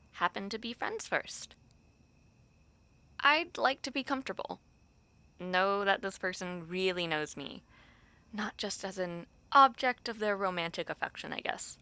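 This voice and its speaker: A woman's voice: fairly low pitch, pushed and bright, no echo, exaggerated voice. A young woman playing a thoughtful, romantic university student who confides hesitantly in a friend, in an intimate, conversational audio roleplay. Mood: thoughtful, earnest.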